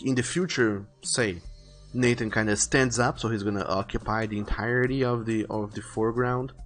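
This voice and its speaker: A man streaming casually, rambling and rephrasing as he thinks his plans aloud. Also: on the low side. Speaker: live streamer illustrating a visual novel. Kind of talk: casual livestream chat, thinking aloud while planning artwork. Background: music.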